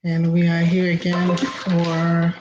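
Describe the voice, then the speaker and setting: A woman from Haiti whose first language is Haitian Creole, giving an inspirational talk as host, slow and reflective with frequent pauses. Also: fairly low pitch, monotone. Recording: roomy, dull lo-fi recording with faint noise.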